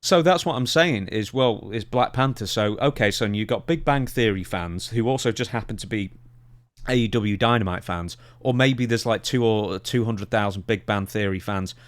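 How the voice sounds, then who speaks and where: A man co-hosting a casual wrestling talk podcast in an animated, exasperated, opinionated way. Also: lowish voice, moderate pace. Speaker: podcast co-host.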